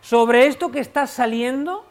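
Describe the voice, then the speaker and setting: A woman teacher with a fairly high voice guides a group of children through a discussion. She asks follow-up questions and repeats each child's answer back to them. Between their answers she offers brief, encouraging affirmations. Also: a little slow. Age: adult. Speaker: teacher leading a class discussion.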